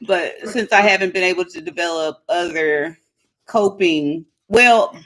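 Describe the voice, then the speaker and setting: A woman's voice: lowish voice, unhurried, fairly bright tone, poor audio. A middle-aged woman, chatting casually with her viewers and laughing often. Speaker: vlogger. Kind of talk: casual live chat with viewers.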